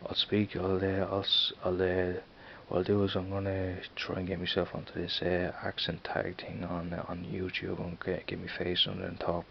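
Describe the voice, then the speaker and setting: A man in his thirties with a Dublin accent, rambling casually and halting over his words in an off-camera vlog. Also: deep voice, completely flat delivery, creaky. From Ireland. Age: 30s. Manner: rambling, halting, many restarts. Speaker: vlogger. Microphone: camera mic, filming a screen. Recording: dull lo-fi sound with faint hiss.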